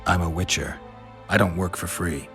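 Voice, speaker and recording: An actor playing a stoic monster hunter for hire in a video game, speaking in a curt, deadpan way. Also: male voice, deep voice, moderate pace. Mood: matter-of-fact. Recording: loud music behind the voice.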